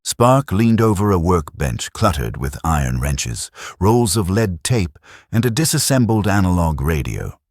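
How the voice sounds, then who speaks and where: A man narrating a science fiction audio drama with a deep, slow dramatic delivery. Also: big pitch swings, a little mellow, no echo, studio quality.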